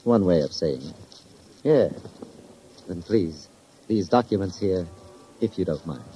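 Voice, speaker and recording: An actor playing a stuffy, evasive, conservative business partner in a 1950s radio drama, prim and deliberate. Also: male voice, sits low, lively intonation, over loud music. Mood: stuffy, defensive. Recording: dull, faintly noisy old radio recording.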